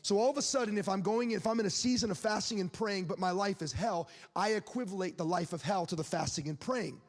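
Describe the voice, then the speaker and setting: A man's voice: high-pitched, quick pace, big-room sound. A man in his late twenties preaching a sermon, speaking candidly and conversationally, with laughs and asides to the crowd. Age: 20s.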